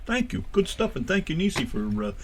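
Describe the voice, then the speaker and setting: An American man co-hosting a radio show, chatting casually and joking, his voice jumping high and low. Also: sing-song, fairly quick. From United States. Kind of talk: casual banter.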